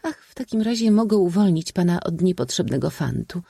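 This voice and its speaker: Man putting on a high-pitched coy young princess. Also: very animated, brisk, gravelly, warm tone, breathy edge, dry recording, clean studio sound, heavily acted. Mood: coy, flustered. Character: young princess, coy, bashful, politely reserved.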